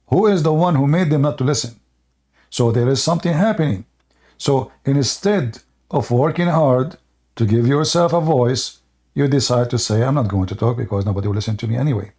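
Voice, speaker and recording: A man's voice: on the high side, darkish tone. A man from the Middle East with an Arabic accent, hosting an online channel and arguing with a caller in a heated live debate, lecturing insistently and repeating his points.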